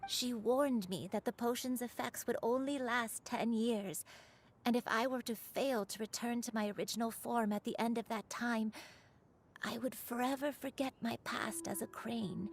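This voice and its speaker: An actress playing a gentle, remorseful old crane spirit in human form, telling a story in a measured, confessional way in a video game. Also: female voice, on the high side, slight background noise. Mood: nostalgic. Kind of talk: scripted video game voice acting, storytelling monologue.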